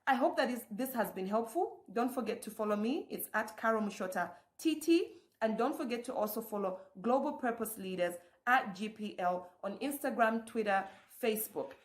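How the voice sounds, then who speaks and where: A woman's voice: on the high side, expressive, normal speed. A woman hosting an online summit, coaching listeners through rhetorical questions. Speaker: summit host. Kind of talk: motivational address. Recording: echoey room.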